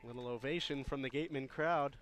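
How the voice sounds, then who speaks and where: A man's voice: mid-range voice, fairly quick, fairly bright tone. A man giving conversational, analytical baseball commentary for a radio broadcast, explaining the game as a color commentator.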